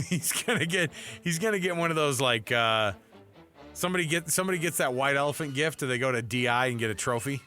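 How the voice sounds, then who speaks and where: A man's voice: medium pitch, fast talker, bright, forceful tone, faint music behind. An American man co-hosting a sports talk show, bantering casually and reacting with sarcastic disbelief.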